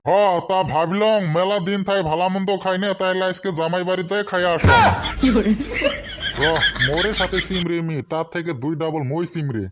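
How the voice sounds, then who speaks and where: A man's voice: high-pitched, fairly even intonation, moderate pace, fairly bright tone, a little hiss or hum. A man voicing an older, miserly village father-in-law in a comic cartoon. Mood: grudging.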